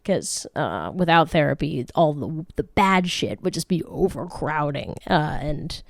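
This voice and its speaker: A woman's voice: deep voice, lively intonation, a little slow, gravelly, no echo, studio quality. A woman in her late twenties hosting a casual podcast, answering listener questions in a frank, chatty way with frequent swearing, laughs and restarts. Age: late 20s. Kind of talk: casual chat.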